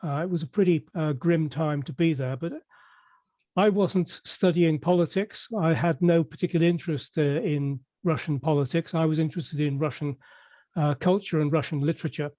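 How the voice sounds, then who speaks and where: Older English man, interview guest, mellow voice on the high side, measured and halting, dry close sound. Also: fairly even intonation, no room sound. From Merseyside, England. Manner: measured, hesitant with many fillers and restarts. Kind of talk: conversational interview.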